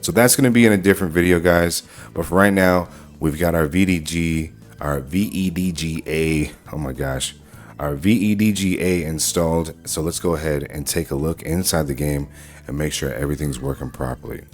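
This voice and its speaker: A man hosting a casual software walkthrough, talking informally through each step as he goes. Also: a bass voice, moderate pace, soft background music. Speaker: tutorial host.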